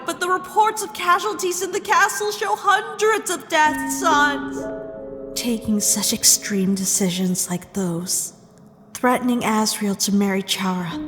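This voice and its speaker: A woman's voice: high-pitched, lively intonation, a little slow. A woman acting a betrayed, indignant young princess in a dramatic comic dub, with an accusing, emphatic delivery. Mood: furious, hurt. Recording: long hall reverb under music.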